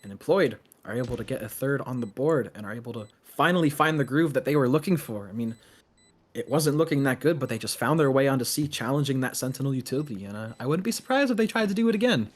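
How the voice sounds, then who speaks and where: A man giving live play-by-play commentary on a video game match, excitable on big plays and analytical between them. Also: mid-range voice, quick pace.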